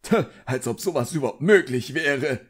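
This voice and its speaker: Casting: pompous, irate middle-aged wizard bureaucrat for an audiobook, raised pitch, barking contempt, performed by a male narrator. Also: lively intonation, normal speed, breathy edge. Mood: irate, dismissive.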